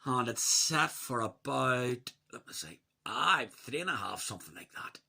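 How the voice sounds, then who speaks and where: A man presents a casual hands-on review. His voice is sharply bright with a husky edge, sitting at an ordinary male pitch. He is chatty and opinionated, often muttering along to himself as he demonstrates. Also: lively intonation, measured pace. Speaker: radio hobbyist presenting a review.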